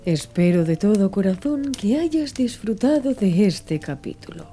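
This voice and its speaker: A woman reading a literary audiobook in a soft, soothing manner, as its narrator and host. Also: medium pitch, sing-song, unhurried. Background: music.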